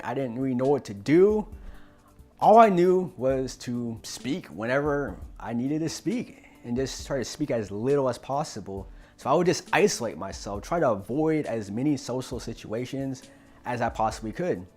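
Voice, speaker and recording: A man's voice: fairly high pitch, a little mellow. A young man hosting a self-help talk, speaking earnestly and encouragingly to the viewer, with occasional repeated words and restarts. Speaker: host of a self-help channel on stuttering. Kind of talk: motivational talk to camera.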